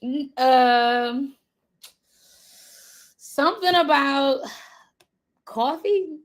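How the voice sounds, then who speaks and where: A woman hosting a casual livestream chat, relaxed, answering in short prompts and laughing easily. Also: high-pitched, extremely slow. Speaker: livestream host.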